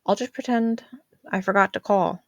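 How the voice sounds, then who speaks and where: A woman's voice: medium pitch, fairly even intonation, normal speed, no room sound, voice pushed up, close to an everyday voice. Teen boy with a secret, played by a female reader, audio story, sheepish, evasive, offhand delivery. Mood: hesitant. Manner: hesitant, casual, defensive.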